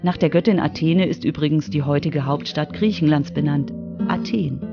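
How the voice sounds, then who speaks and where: A woman's voice: sits low, moderate pace, creaky, highs cut off, over music. A woman reading scripted narration as the reporter of a children's radio feature.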